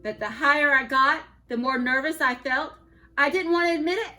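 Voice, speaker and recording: A woman teacher reads a picture book aloud to her students from home. Her voice sits a little high and rings clear and bright. She reads expressively and drops into soft asides to the children between pages. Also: moderate pace, soft background music.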